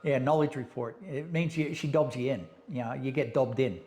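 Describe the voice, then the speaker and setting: A man's voice: mid-range voice, moderate pace, darkish tone. A man, a guest telling stories in a blunt, profane, casual way and laughing often. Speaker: interview guest. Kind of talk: casual conversational storytelling.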